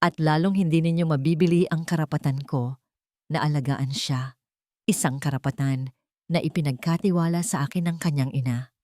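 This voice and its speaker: A woman's voice: low-pitched, steady tone, moderate pace, mellow, no echo, studio quality, natural voice. A woman voicing a devoted, defiant female teacher who speaks firmly with held-back anger in an audio story. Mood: restrained anger. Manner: firm, restrained anger.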